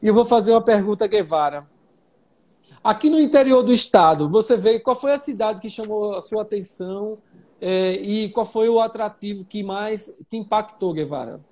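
A man's voice: high voice, moderate pace. A man hosts a live stream, introducing guests and putting questions to them with eager energy. His voice sits well above the usual male range. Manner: enthusiastic, animated introductions. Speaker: livestream host. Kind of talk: live interview hosting.

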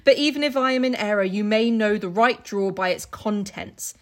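A woman's voice: medium pitch, fairly quick. A young woman co-hosting a podcast, chatting casually and reading aloud in an irreverent, giggly, sweary way. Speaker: co-host of a book-retelling podcast. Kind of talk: casual chat with comic read-alouds.

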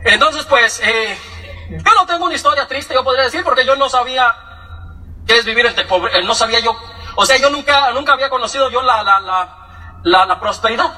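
A young man with a Mexican accent, from Michoacán, telling his life story to an audience in a joking, animated way. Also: very high voice, a little fast, far from the mic, low-quality recording. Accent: Mexican Spanish. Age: young adult. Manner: joking, animated, acts out quoted voices. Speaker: speaker giving a testimony.

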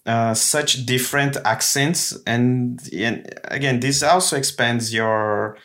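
A man's voice: medium pitch, slow pace, fairly bright tone. A man with a Brazilian accent, a native Portuguese speaker, talking casually and reassuringly as a podcast guest in English as his second language. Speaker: podcast guest, comedian and actor. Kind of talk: casual conversation.

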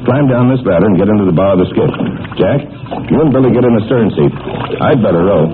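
Man playing a deep, steady radio adventure leader. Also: fast talker, lightly acted. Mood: steady, commanding. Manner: calm, authoritative, instructing. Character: Uncle Jim, steady capable adult adventure leader. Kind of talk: old-time radio adventure serial acting. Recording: noisy, tinny old radio broadcast.

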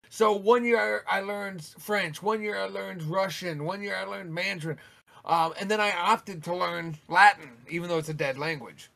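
Man, high voice, podcast co-host, animated, cuts in. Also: vocal fry. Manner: animated, talks over others, repeats words when excited. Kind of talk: casual chat.

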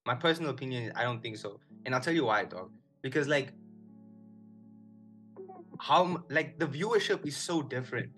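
Co-host, relaxed slangy talker, mid-pitched voice, with a faint music bed behind. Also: male voice, moderate pace, long pauses, rough recording. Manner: laid-back, slangy, keeps saying "dog" and "one hundred". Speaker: podcast co-host. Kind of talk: casual chat. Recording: music playing faintly underneath.

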